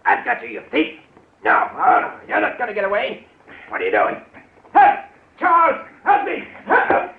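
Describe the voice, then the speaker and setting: Tinny old radio excitable shopkeeper. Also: male voice, very high voice, moderate pace, gravelly, husky, exaggerated voice. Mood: panicked. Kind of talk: old-time radio drama acting.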